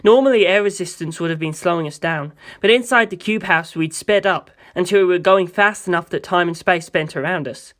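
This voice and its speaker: A narrator with a mid-pitched voice reads a novel aloud in the first person. The delivery is that of scripted storytelling, carried line by line as an amateur audiobook. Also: a little fast, hardly any pauses, a bit bright.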